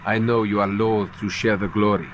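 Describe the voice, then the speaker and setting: A man's voice: fairly low pitch, level tone, moderate pace, a little hiss or hum, lightly acted, low-quality recording. An actor playing a jealous, sneering assassin rival in a video game, mocking and taunting as he talks. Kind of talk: dramatic video game acting.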